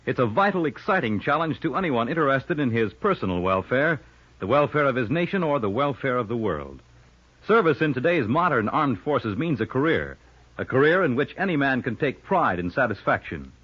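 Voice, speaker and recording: Old time radio announcer guy, earnest pitch, raspy and very inflected, muffled vintage sound. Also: very animated, brisk. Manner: earnest, emphatic. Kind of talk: 1950s radio recruitment announcement, scripted.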